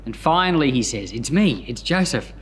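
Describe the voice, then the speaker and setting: A man reading scripture and commenting on it in an expressive storytelling manner, as a pastor hosting a Bible podcast. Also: mid-range voice, moderate pace, a little hiss or hum.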